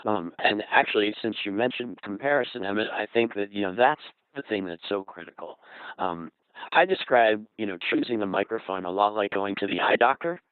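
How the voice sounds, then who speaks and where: A man's voice: lowish voice, lively intonation, brisk, smooth, no echo. A man speaking as a guest in a conversational panel discussion, explaining things with everyday examples, heard through a narrow radio transmission. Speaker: panel guest on voice-over audio.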